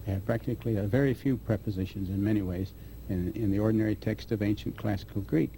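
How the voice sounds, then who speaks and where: A man answering interview questions as a scholar, speaking haltingly with restarts mid-phrase. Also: fairly low pitch, fairly even intonation, vocal fry, mellow, a little hiss or hum, rough recording. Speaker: guest scholar.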